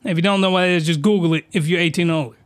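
A man's voice: on the high side, steady tone, racing, smooth. A man hosting a podcast, recapping an anime in a rambling, mock-outraged way, losing his voice as it cracks. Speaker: podcast host.

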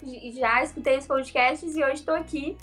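A woman's voice: sits high, steady tone, normal speed, a bit bright, faint music behind. A woman, an enthusiastic guest on a casual podcast. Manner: enthusiastic, effusive.

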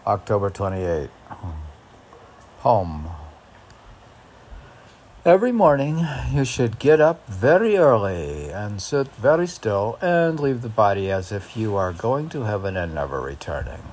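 A man's voice: fairly low pitch, big pitch swings, a little slow, a little mellow, a little hiss or hum. A man reading aloud and commenting informally, musing in a rambling way as if to himself. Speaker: podcast host reading and commenting on poems.

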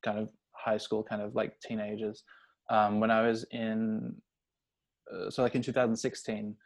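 A man's voice: lowish voice, level tone, moderate pace. A young adult man talking casually and personally, hesitant and rambling, leaning heavily on filler words. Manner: hesitant, rambling, heavy use of "like".

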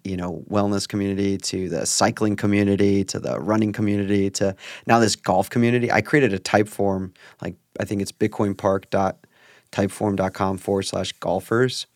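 Low-voiced man hosting a casual podcast. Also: no echo, studio quality. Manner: chatty, rambling, swears casually. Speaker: podcast host. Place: at a park.

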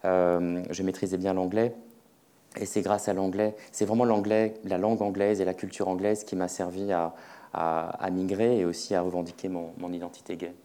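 Interpreter guy, really deep warm voice, repeats words as he translates on the fly. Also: level tone, fairly quick. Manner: repeats words while rendering speech on the fly. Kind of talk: consecutive interpreting.

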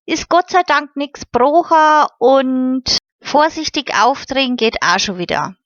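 A woman's voice: high-pitched, animated, takes it slowly, fairly bright tone, no room sound, dull audio, voice pushed up, exaggerated voice. A woman voicing a cheerful, friendly young girl, chatting in dialect.

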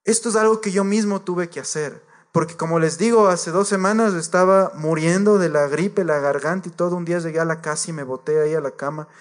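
A man's voice: on the high side, fairly quick, hardly any pauses. A man preaching a sermon to a congregation, earnest and direct, repeating his points and addressing the listeners as believers.

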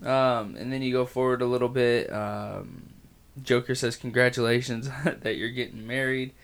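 A man with a raspy voice hosting a casual comic book podcast, talking with many pauses, fillers and restarts. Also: mid-range voice, fairly even intonation.

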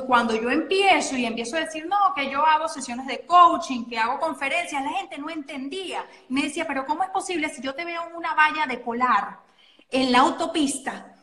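A Venezuelan woman, a guest coach and model, talking in a chatty, animated way and often correcting herself mid-sentence. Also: sits high, roomy sound. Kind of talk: casual interview chat.

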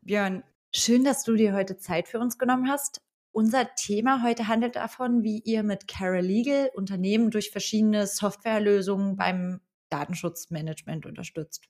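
A woman's voice: mid-range voice, normal speed, darkish tone. A woman hosting a podcast, reading a careful introduction and asking questions with an occasional filler. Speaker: podcast host.

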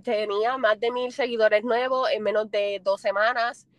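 A young Puerto Rican woman whose higher voice seems to carry a smile. She answers an interviewer casually and laughs easily. The sound is close and dry, with no room in it. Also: fairly even intonation, normal speed, no room sound, lo-fi sound. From Puerto Rico. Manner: talks with a smile, laughs often. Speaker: interview guest.

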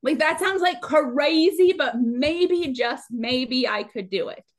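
A woman, chatting casually and animatedly as she tells stories. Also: high voice. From Minnesota, United States. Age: late 30s. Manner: chatty, animated, quick to laugh. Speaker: podcast guest, amateur trail runner. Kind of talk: casual conversational storytelling.

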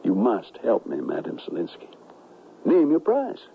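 Anxious schemer, very animated delivery. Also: male voice, medium pitch, normal speed, gravelly, a little hiss or hum. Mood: pleading. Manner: urgent, persuasive, articulate. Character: anxious, scheming man minding a colonel in a western. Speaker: narrator and character. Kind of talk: western radio drama acting. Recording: dull lo-fi radio recording with music. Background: music.

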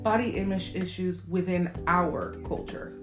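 A woman's voice: fairly low pitch, unhurried. A young woman from Miami hosting a casual podcast, upbeat and gushing, drawing out her emphasis. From Miami, USA. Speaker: podcast host. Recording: echoey room with guitar music.

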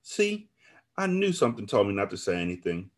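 A man's voice: lowish voice, very animated, fairly quick. A man voicing a woman in an audiobook drama, pleading and placating as she talks to her friend. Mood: anxious pleading. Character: guilt-ridden woman narrator pleading with her angry friend.

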